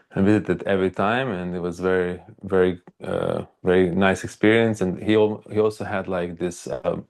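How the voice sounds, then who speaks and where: A man with a Croatian accent speaks in a very deep register with a lot of gravelly fry. He tells stories casually as an interview guest, halting often and leaning on filler words. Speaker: archaeologist and video creator, interview guest.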